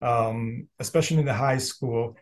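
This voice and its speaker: A man in his fifties from Hungary, a native Hungarian speaker with a Hungarian accent, talking casually and haltingly as an interview guest. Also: medium pitch. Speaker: podcast guest, entrepreneur and business coach. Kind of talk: casual personal storytelling in an interview.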